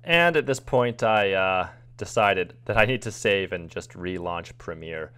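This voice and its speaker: Low-pitched man narrating casually. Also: lively intonation, measured pace, sharp tone, clear, resonant tone. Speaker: video editor. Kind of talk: screen-recording narration, casual explanation.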